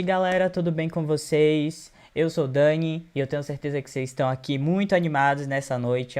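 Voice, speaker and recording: A man's voice: on the high side, darkish tone, smooth. A man with a Brazilian accent hosting a live stream on a video call in an informal, chatty way. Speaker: host.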